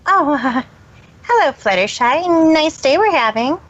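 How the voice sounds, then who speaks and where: A woman's voice: sits high, animated, measured pace. A woman voicing a proud, flustered female pony magician in a fan fiction audio reading. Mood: nervous. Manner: boastful third-person self-reference, nervous. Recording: dull lo-fi recording.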